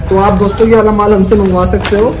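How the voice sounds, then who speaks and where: A man in his twenties with a North Indian accent gives an informal, repetitive sales pitch to camera, addressing viewers as friends. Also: little pitch movement, fast. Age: 20s. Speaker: wholesale clothing seller and vlogger. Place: large indoor warehouse full of clothing bales. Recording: echoey room with clear background noise.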